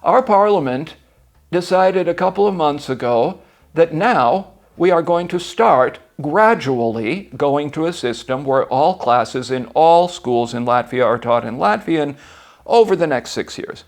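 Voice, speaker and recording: A man's voice: on the high side, animated, measured pace. American guy in his 60s, blunt and emphatic, swears when riled. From United States. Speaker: podcast guest, journalist. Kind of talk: conversational interview.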